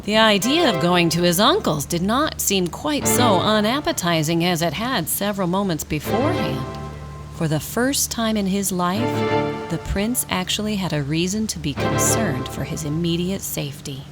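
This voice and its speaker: A woman's voice: lowish voice, animated, normal speed, loud music behind the voice. A woman reading scripted storybook narration as the narrator of a radio drama.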